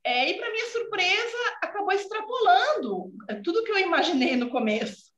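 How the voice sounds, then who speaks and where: A Brazilian woman in her forties with a gaúcha accent speaks earnestly from home over a video call, her voice set high. Her sentences keep ending on a questioning "né". The call sounds dull and echoey. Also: sing-song, normal speed. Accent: Brazilian Portuguese, gaúcha. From southern Brazil. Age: 40s. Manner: warm, earnest, many filler "né" tags. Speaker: student guest. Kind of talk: casual video call chat. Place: home room indoors. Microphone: video call laptop mic.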